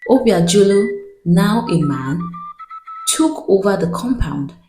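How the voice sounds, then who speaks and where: A woman narrating a folktale in a dramatic scripted storytelling style, with measured delivery and pauses. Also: mid-range voice, expressive, dark tone, roomy sound, faint music behind.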